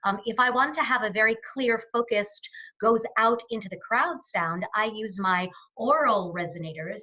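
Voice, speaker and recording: A woman's voice: a little high, sharp tone, dull audio. A woman speaking as a voice coach in an interview, explaining and demonstrating in an instructional way. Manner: demonstrative, explanatory, deliberately varies resonance. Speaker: voice coach guest.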